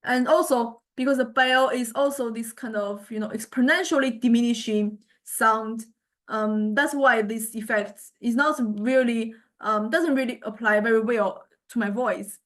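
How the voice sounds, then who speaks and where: A woman lecturer giving an informal software demonstration, thinking aloud in a halting way as she goes. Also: on the high side. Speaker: music lecturer.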